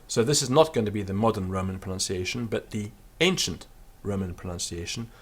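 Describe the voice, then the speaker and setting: A man giving an unscripted pronunciation lesson, explaining with fillers and then slowly sounding out words to demonstrate them. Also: lowish voice, expressive, fairly bright tone. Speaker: Latin teacher.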